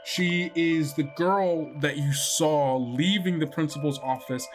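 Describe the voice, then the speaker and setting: A podcast host and game master speaks at a middle pitch in a casual, playful way. The talk moves between teasing chat and improvised narration, with faint music behind. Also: measured pace.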